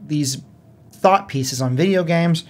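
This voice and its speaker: A man's voice: a little high, slow. A man co-hosting a gaming podcast in a casual, conversational way, with "like" sprinkled through his talk. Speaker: podcast co-host.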